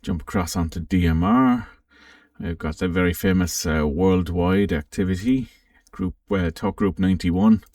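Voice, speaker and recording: Deep, creaky-voiced man in a roomy space. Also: fairly even intonation, normal speed, a little mellow, clean studio sound. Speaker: amateur radio channel host. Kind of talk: casual software walkthrough. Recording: roomy, distant microphone.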